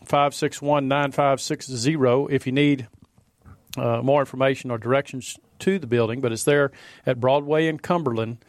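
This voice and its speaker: A man co-hosting a morning religious radio show, giving event announcements in a steady, measured way. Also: mid-range voice, dry recording. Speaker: radio co-host. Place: radio studio.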